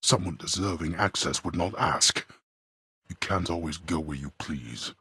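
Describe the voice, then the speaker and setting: A man playing a stern, imperious male lord of the underworld in a video game, speaking in a cold, disdainful, formal manner with a very husky, gravelly voice. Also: low voice, unhurried, sharp tone, dry recording, studio quality, heavily acted. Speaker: voice actor.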